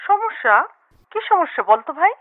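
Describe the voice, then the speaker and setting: A woman playing a supportive, comforting older sister in a radio drama, animated and heard through a telephone filter. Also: very high voice, moderate pace. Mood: cheerful, curious. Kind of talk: Bengali radio drama acting. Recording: muffled telephone-line sound.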